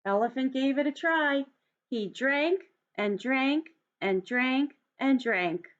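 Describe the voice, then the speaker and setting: A woman reading a children's storybook aloud in an expressive, deliberate way, like a teacher addressing young students. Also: high-pitched, muffled recording.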